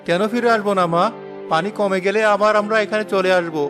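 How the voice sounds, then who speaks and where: A man plays a poor villager in a cartoon, an earnest family man full of worry. His voice is high for a man and urgent, over clearly audible music. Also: fairly even intonation, fast talker, close to an everyday voice. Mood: worried. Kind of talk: animated moral story voice acting.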